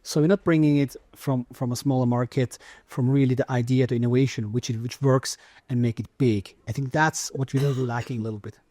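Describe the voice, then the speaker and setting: A man's voice: medium pitch, steady tone, brisk, mellow, no room sound. A man speaking on a conference panel as a tech business director, emphatic and repetitive, often restarting his phrases. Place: conference stage.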